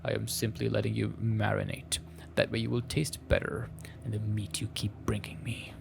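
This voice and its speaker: A man voicing a haughty, menacing female alien creature in a visual novel, with a gravelly growl that turns breathy and slow, formal speech. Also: on the low side, flat delivery, music in the background. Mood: disdainful.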